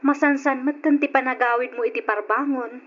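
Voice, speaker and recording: A woman's voice: high-pitched, steady tone, normal speed, natural voice. An actress playing a scorned, reproachful mistress confronting her cheating lover in an Ilocano radio drama, accusing and insistent. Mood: suspicious, stern. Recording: dull old radio drama recording, noisy.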